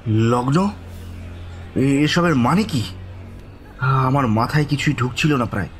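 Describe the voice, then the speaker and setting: An actor playing a bewildered, frightened man telling a village ghost story in the first person, with a halting, suspenseful, dramatic delivery. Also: medium pitch, soft background music, close to an everyday voice. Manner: halting, breathy repetitions, suspenseful, pleading in dialogue.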